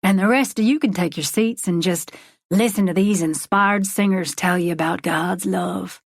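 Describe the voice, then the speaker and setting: A woman voicing a folksy, charismatic male megachurch preacher, preaching with drawn-out words and dramatic pauses. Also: lowish voice, vocal fry, no echo, studio quality. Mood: gentle. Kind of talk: audiobook character voice.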